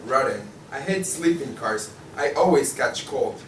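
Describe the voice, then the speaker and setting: A man's voice: medium pitch, lively intonation, measured pace, a bit bright. A man reading the part of a weary, irritable magazine editor in a stage play, dry and gloomy. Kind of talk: student table read of a stage play. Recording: distant echoey room, faint noise.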